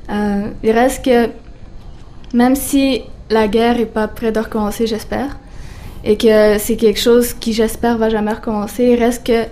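A young woman, speaking quickly and informally as a guest author. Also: a little high, a little mellow. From Canada. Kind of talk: casual discussion.

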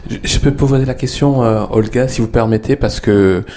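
A man hosting a discussion, asking his questions in a measured, hesitant way. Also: mid-range voice, warm tone. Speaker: host. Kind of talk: moderating a discussion.

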